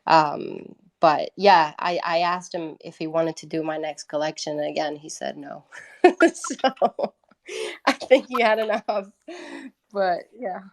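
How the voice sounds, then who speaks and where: Lower-pitched woman chatting in an interview. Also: slow pace. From Italy. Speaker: musician, interview guest. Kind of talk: casual interview conversation.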